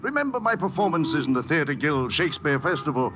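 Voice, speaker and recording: Theatrical old stage actor, wildly swooping voice. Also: male voice, a little high, sing-song, brisk, over music. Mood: pleading, proud. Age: older. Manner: theatrical, grandiose, pleading. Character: proud, desperate, faded old Shakespearean actor. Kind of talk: 1950s radio drama acting. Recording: muffled, noisy old radio recording.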